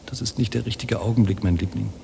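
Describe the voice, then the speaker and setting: A man playing a brisk, affectionate young man in a radio play, practical and decisive. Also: on the low side, creaky. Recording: long hall echo, faint noise.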